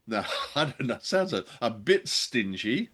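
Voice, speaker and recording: A man's voice: medium pitch, very animated, measured pace, a bit bright, slightly rough. A man co-hosting a show, chatting casually about old entertainment in a hesitant, jokey way. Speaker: podcast co-host. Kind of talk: casual film and radio discussion.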